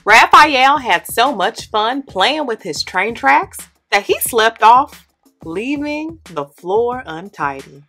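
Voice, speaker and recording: A young woman, host of the channel, reading a children's picture book aloud in an expressive, animated way. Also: fairly high pitch, slow, husky, faint music behind. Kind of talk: read-aloud storytelling. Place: indoor studio with plain orange backdrop.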